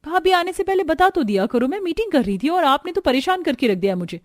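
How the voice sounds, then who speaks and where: A woman's voice: high voice, sing-song, fast, no room sound, clean studio sound. A woman voicing an irritable, possessive young sister-in-law in a Hindi family cartoon, snappy and defensive. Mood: annoyed.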